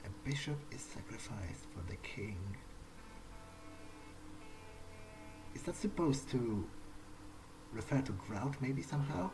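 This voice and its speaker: A man playing an uneasy vampire newcomer in an in-character game playthrough, thinking aloud in a halting, hesitant way. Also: medium pitch, lively intonation, natural voice, rough recording. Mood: puzzled, pondering. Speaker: let's player. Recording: music behind the voice. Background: game soundtrack music.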